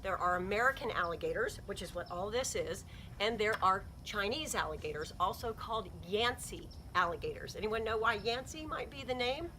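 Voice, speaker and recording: Woman nature guide, middle-aged, upbeat and encouraging, lively pitch, outdoor noise behind. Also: normal speed. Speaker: heritage interpreter giving a nature talk. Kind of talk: friendly guided presentation to a small group. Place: outdoors at a ranch.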